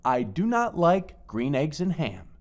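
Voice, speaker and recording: A woman's voice: deep voice, a little slow, pitched below the performer's own voice. A woman voicing a grumpy, stubborn picky eater in a read-aloud storybook, grumbling every refusal. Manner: flat, grumbling, fed-up refusals.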